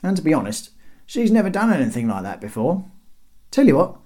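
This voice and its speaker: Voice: mid-range voice, sing-song, brisk, warm tone, voice pushed up, natural voice. A narrator playing a cheeky male city guard in an audiobook, chatting offhandedly in colloquial British banter. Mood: offhand.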